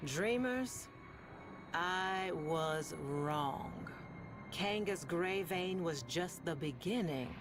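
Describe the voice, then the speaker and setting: A woman playing a sardonic, world-weary radio host in a sci-fi game, telling stories in a deliberate, ominous, wry way with long pauses over music. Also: fairly low pitch. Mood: wry, cynical. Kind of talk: slow dramatic monologue to listeners, storytelling.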